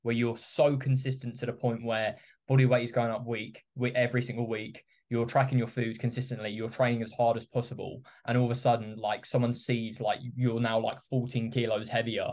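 A man's voice: mid-range voice, brisk. A male podcast host in easygoing conversation, often humming along as he listens and reading out listener questions. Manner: relaxed, lots of listening hums. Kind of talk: casual chat.

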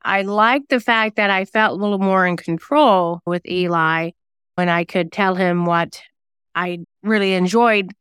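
A woman speaks conversationally as an interview guest, chatty and a little self-deprecating. Her voice is strikingly clear and smooth. The sound is dry, with no room on it. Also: mid-range voice, unhurried, fairly bright tone, no room sound. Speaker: guest singer-songwriter and novelist.

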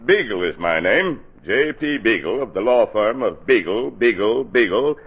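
A man playing a formal, pompous lawyer in a 1940s radio comedy, speaking deliberately with hems and haws. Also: lowish voice, lively intonation, slightly rough. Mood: businesslike. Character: businesslike, pompous male lawyer delivering news. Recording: muffled 1940s radio broadcast recording.

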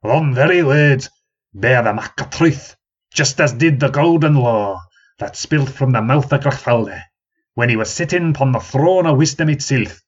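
A man's voice: a little high, very animated, normal speed, husky, muffled recording, pitched above the performer's own voice. A man voicing a boastful, stubborn old tavern storyteller who says ye, me and aye and holds forth grandly. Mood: indignant. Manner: dialect speech with ye, me and aye, proclaiming grandly.

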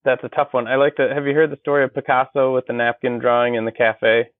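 A man's voice: mid-range voice, level tone, quick pace, no room sound. A man, an engineer and copywriter, guest on a podcast, explaining technical ideas through plain everyday analogies. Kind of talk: conversational interview. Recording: dry tinny phone-like line.

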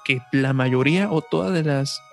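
A man's voice: mid-range voice, moderate pace, gravelly, fairly bright tone. A man with a Mexican accent hosting a podcast, talking and reading in an informal way with self-corrections. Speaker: podcast host. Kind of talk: casual chat and reading aloud. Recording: music behind the voice. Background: music.

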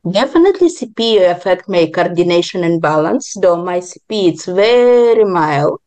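A woman from Israel, born in Ukraine, answering interview questions in deliberate, halting, non-native phrasing. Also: fairly low pitch, animated, darkish tone. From born in Ukraine, grew up in Israel.

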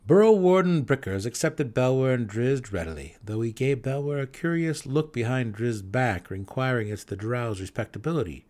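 A man's voice: medium pitch, animated, normal speed, few pauses, darkish tone. A man reading a scripted fantasy story as a dramatic audiobook narrator.

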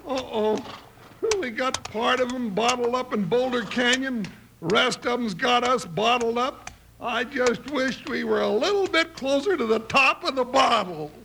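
An actor playing a big, folksy, wisecracking deputy sidekick in an old-time radio western comedy. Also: male voice, very high-pitched, a little slow, gravelly, exaggerated voice. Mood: wry, alert. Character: big comic deputy sidekick, grumbling and loyal. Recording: noisy vintage radio recording.

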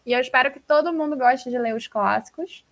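A woman with a Brazilian accent hosting a casual, informal book podcast in an animated, slangy way. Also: high voice, a little fast. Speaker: podcast host. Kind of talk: casual chat about books.

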